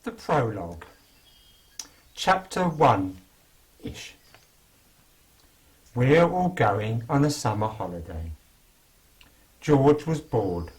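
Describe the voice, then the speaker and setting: Man, storyteller, medium pitch, genteel and whimsical, children's story read aloud. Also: sing-song, drawn-out words, pauses often, a little mellow, poor audio.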